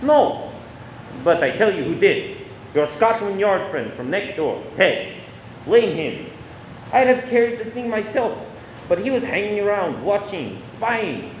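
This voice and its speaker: An anxious husband in a radio play, acted by a man, pleads and justifies himself in halting phrases. His voice is high and very animated, caught in an echoey room. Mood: defensive, agitated. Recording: echoey room with faint noise.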